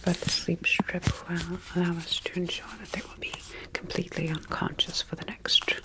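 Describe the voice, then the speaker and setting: A woman playing a calm, sinister sleep clinic scientist in a whispered ASMR horror roleplay, speaking with clinical, measured detachment. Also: fairly low pitch, lightly acted. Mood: clinical, quietly sinister. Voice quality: breathy whisper.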